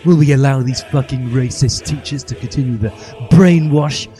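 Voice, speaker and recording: A man voicing a furious, self-righteous teenage revolutionary who rants in an overwrought, shouty way in a comic story reading. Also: mid-range voice, moderate pace, lightly acted. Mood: rousing, shouting. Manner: shouty, overwrought ranting with swearing. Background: music.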